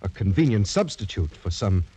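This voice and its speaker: An actor playing a proud, honourable young gentleman with courtly, formal speech in a 1940s radio drama. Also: lowish voice, big pitch swings, normal speed, husky. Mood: wounded pride. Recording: noisy vintage 1940s radio recording, clicks.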